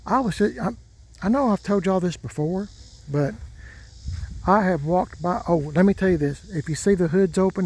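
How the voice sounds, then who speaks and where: An older man talks in a slow, folksy ramble and often repeats himself. His voice has a warm, mellow tone and sits a little high for a man. He speaks casually outdoors as the host of his own vlog. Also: low-quality recording. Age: 60s. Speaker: truck channel host. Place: outdoors by parked trucks.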